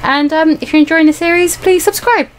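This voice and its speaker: A woman's voice: very high-pitched, fairly quick, a little hiss or hum. A woman reading a visual novel aloud as a let's play host, breaking off into playful, casual asides. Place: indoor room at home.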